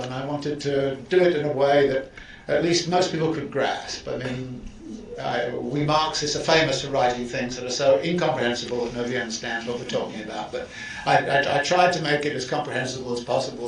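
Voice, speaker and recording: Professor lecturing in an echoey room. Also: male voice, mid-range voice. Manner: deliberate, explanatory, restarts phrases often. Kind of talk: lecture to students. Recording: echoey room, distant mic, faint hiss.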